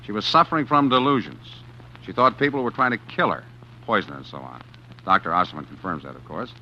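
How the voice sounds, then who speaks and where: An actor playing a man who narrates his own crime in a 1940s radio drama, tense and halting. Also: on the low side, lively intonation, slight background noise, lightly acted. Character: male narrator who turns killer, jittery. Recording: dull old radio recording with music.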